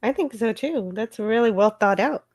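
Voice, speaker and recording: A woman's voice: on the high side, animated, moderate pace. A woman chatting casually as co-host of a livestream show.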